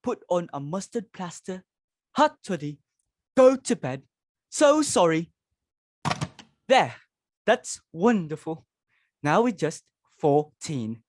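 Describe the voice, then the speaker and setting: A woman's voice: lowish voice, big pitch swings, takes it slowly, long pauses, darkish tone, dry recording, full character voice. Frantic, domineering society matron played by a woman, grand and exclamatory stage-comedy delivery, dry recording. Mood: gushing sympathy. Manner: theatrical, self-important, exclamatory. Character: imperious, frantic society hostess and domineering mother, married woman. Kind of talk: dramatic reading of a stage comedy.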